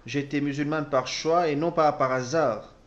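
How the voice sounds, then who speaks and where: A man interpreting a personal testimony, speaking haltingly with repeated words and self-corrections. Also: fairly high pitch, fairly even intonation, highs cut off. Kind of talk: spoken translation of a personal testimony.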